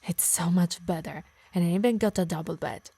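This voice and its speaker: A woman's voice: lowish voice, a little fast, mellow, husky, dry recording. A woman playing a possessive, teasing harpy healer who scolds fondly in an ASMR roleplay. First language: Italian.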